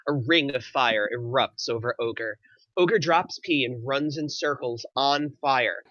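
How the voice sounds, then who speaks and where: A man's voice: medium pitch, unhurried, a bit bright, no echo, muffled recording. A man reading screenplay stage directions aloud as the narrator of a table read.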